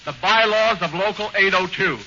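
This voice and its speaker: A man playing the bandleader in a 1940s radio comedy, delivering deadpan non sequiturs. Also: sits high, normal speed. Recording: dull, very noisy 1940s radio broadcast.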